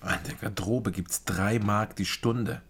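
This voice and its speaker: Low-voiced, husky man in his twenties, dry dropout detective for a radio crime drama. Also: fairly even intonation, a little slow, a bit bright, heavily acted. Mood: wry, skeptical. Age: 20s. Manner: laconic, dry, understated. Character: laconic 28-year-old East German dropout turned private detective.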